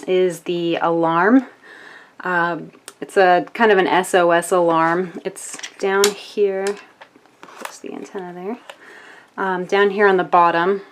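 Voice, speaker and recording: An American woman with a fairly low voice talks through a product demonstration. She explains features step by step, informally and with some hesitation, like a casual reviewer.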